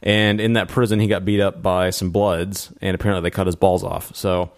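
A man hosting a podcast, recapping in a casual, halting way as he talks. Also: sits low, little pitch movement. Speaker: podcast host recapping TV episodes.

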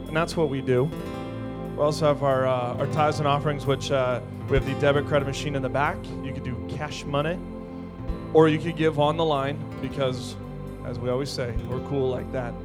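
A man giving casual, joking church announcements about the offering and the welcome cards. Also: on the high side. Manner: breezy, with fillers and jokes. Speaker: church host.

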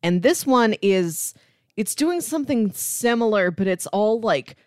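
A woman's voice: mid-range voice, expressive, measured pace, darkish tone, no room sound, clean studio sound. A woman co-hosting a casual film discussion, speaking in an enthusiastic, emphatic way full of hyperbole. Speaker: podcast co-host.

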